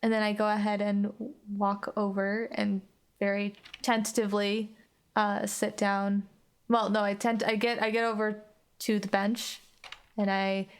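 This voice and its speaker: A woman's voice: mid-range voice, clean tone. A woman on an actual play podcast, narrating improvised roleplay in a casual, hesitant way with lots of "like".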